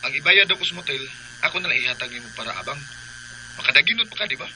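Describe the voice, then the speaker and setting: An actor playing a pushy, cheeky young suitor with a Filipino accent in a radio drama. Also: male voice, on the high side, animated, rapid-fire, sharp tone, a little hiss or hum, rough recording. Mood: cheeky, coaxing.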